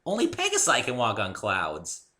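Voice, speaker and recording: Man putting on a perky high-pitched girl pony. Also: wildly swooping pitch, moderate pace, pushed and bright. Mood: chirpy, silly. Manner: perky, sweet, rambling. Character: bubbly young female pink cartoon pony, friendly, scatterbrained.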